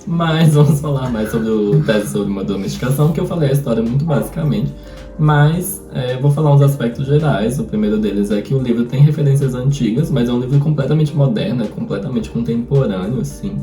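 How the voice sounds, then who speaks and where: A man's voice: mid-range voice, a little fast, dark tone. A Brazilian man chatting casually about books as a host, thinking aloud and often wandering off on tangents. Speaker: host of a book discussion. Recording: roomy echo, distant mic, faint music.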